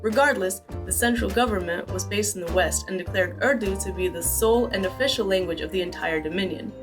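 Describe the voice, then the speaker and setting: A woman's voice: medium pitch, fairly quick. Woman explaining history over music. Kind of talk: scripted narration.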